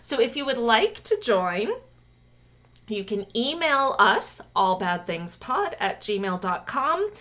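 A woman's voice: on the high side, sing-song, slow pace, pushed and bright. A woman co-hosting a podcast in casual, chatty conversation, laughing often and rambling a little. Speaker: podcast co-host.

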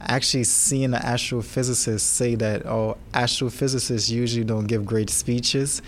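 A young man talks casually and enthusiastically, though his pitch stays fairly flat. Faint background noise sits under his voice. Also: moderate pace. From New York. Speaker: student. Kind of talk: interview answer.

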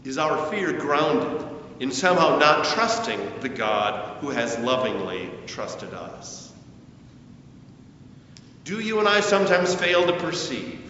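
An older man delivers a sermon in a slow, emphatic way, his voice fairly high and swinging widely in pitch. He sounds distant from the microphone, with heavy echo around his words. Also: a bit bright. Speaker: pastor. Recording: echoey hall, distant mic, muffled, faint rumble.

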